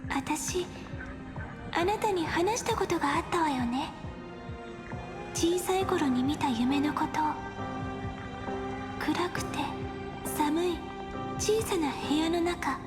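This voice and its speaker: A gentle young heroine of an anime game with a very high, soft, breathy voice. She speaks in measured, wistful phrases like someone telling an old dream, with music clearly playing behind her. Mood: wistful, nostalgic. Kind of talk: Japanese anime game voice acting.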